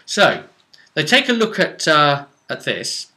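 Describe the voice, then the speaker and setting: A man's voice: fairly high pitch, wildly swooping pitch, takes it slowly, pushed and bright. A man casually recapping a tabletop role-playing session as game master, telling it dramatically in a rambling, vivid way. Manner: dramatic, rambling retelling with vivid action descriptions. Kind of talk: casual animated storytelling.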